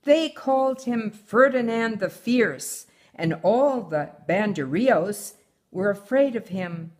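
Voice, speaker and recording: An older woman, a mother reading a children's story aloud in a measured, deliberate way. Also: medium pitch, animated, darkish tone.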